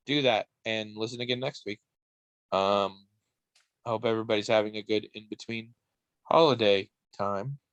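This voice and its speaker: A man's voice: fairly low pitch, dry recording, rough recording. Man, podcast co-host, rambling wry chat, dry close mic. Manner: rambling, swears casually, wry asides. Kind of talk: casual chat.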